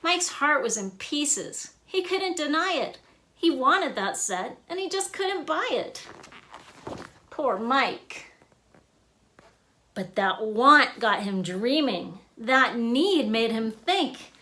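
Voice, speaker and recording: A woman with a high, bright voice reads a children's picture book aloud. Her pitch swings in a lively way, and she gives the rhymes and sound words expressive emphasis. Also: takes it slowly, a little hiss or hum. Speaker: author and illustrator reading own book.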